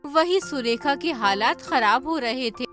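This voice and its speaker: A woman's voice: high-pitched, lively intonation, unhurried, fairly bright tone, soft background music. A woman reading scripted story narration for a cartoon.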